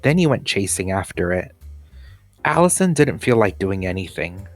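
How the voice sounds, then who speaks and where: A man's voice: low voice, very animated, normal speed, no room sound. A man reading a children's story aloud as a teacher would, with music behind him.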